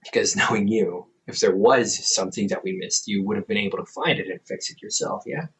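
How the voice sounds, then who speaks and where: A man playing a teasing, reassuring older brother, a scholar in his thirties, in an intimate, casual audio roleplay with halting, conversational delivery. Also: on the low side, voice pushed down, close to an everyday voice, rough recording. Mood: gently reassuring. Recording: hobby microphone with faint background noise.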